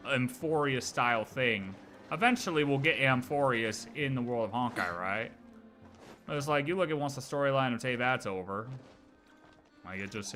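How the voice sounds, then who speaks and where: Man, livestreamer, mid-pitched, bright tone, casual rambling speculation, slang, repetitive emphasis, faint background music. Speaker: livestreamer reacting to game lore. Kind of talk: casual livestream chat, speculating about game story.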